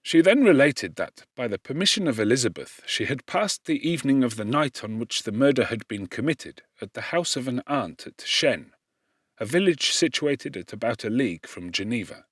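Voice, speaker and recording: A man narrating a classic literary audiobook in a solemn, expressive and measured way. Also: mid-range voice, dry recording, clean studio sound.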